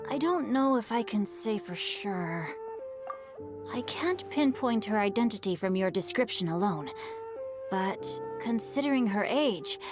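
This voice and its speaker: A woman playing a polite, bookish youth in a video game, speaking in an articulate, thoughtful and courteous way. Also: a little high. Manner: articulate, measured, courteous storyteller. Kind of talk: video game character acting. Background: game music.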